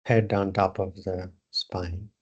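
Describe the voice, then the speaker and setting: A man teaching yoga, guiding a meditation in short phrases with long pauses between them. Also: sits low, flat delivery, slightly rough, highs cut off. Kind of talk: guided meditation instruction.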